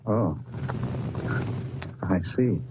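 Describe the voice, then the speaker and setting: An actor playing a smooth, urbane millionaire who answers evasively with hesitant pauses, in 1940s radio acting. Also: male voice, low-pitched, lively intonation, background noise, heavily acted. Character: smooth, oily millionaire seducer. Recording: muffled old radio recording with music.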